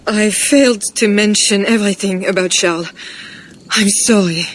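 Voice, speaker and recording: An actress playing a pious, gentle noblewoman in a video game cutscene, speaking softly and earnestly. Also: medium pitch, normal speed, slight background noise, lightly acted. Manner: soft, earnest and devout. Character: pious, gentle noblewoman estranged from her husband. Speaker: queen.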